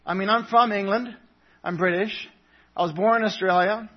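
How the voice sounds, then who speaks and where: A man from Papua New Guinea gives an earnest, chatty sermon as a visiting missionary, stumbling through fillers and restarts. His voice sits a little high and sounds husky. The church recording is muffled and lo-fi. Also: steady tone, normal speed.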